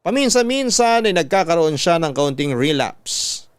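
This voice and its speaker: A man with a Filipino accent reading a scripted first-person story in a measured, dramatic storytelling manner. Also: a little high, sing-song, smooth, dry recording. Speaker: radio drama narrator.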